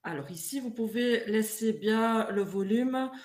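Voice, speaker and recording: A woman's voice: on the high side, measured pace, a little mellow. A woman whose first language is German, speaking French with a strong German accent, presenting a live webinar on clay materials with many hesitant fillers. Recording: echoey room.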